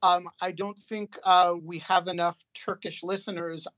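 A man hosting an online audio chat, calling on listeners in a halting way with many fillers. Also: high-pitched, little pitch movement, a bit bright, no room sound. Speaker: host.

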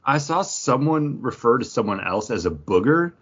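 A man's voice: mid-range voice, very animated, normal speed, fairly bright tone, husky, lo-fi sound. A man hosting a podcast, chatting casually and jokingly about pop culture. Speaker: podcast host.

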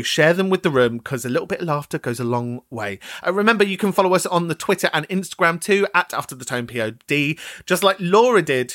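A man's voice: fairly high pitch, a bit bright, dry recording, studio quality. A man hosting a podcast in casual chat, frank and chatty, swearing freely while giving supportive advice. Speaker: podcast host.

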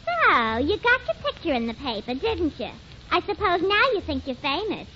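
A woman's voice: high-pitched, very animated, normal speed, pushed and bright, exaggerated voice. An actress playing a brash, scornful young woman with a sarcastic manner, in 1940s radio comedy style. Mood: mocking. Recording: muffled 1940s radio recording, faint noise.

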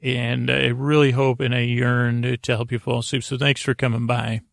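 A man with a creaky voice hosting a bedtime podcast, rambling along in a meandering, halting way and interrupting himself. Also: mid-range voice, flat delivery. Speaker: podcast host.

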